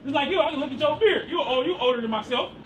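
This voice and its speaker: A man preaching loudly outdoors on a city street. He quizzes the crowd with questions and then answers them himself. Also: very high-pitched, fast. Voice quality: loud and projecting, near yelling. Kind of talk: loud open-air street preaching. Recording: far from the mic with street noise.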